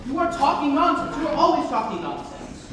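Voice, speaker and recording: Young man playing indignant gentleman, very high-pitched voice. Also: very animated, fairly quick. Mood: exasperated. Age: young adult. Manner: indignant, emphatic. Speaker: student performer in a dramatic reading. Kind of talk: theatrical comedy stage reading. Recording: very echoey hall, distant, noisy.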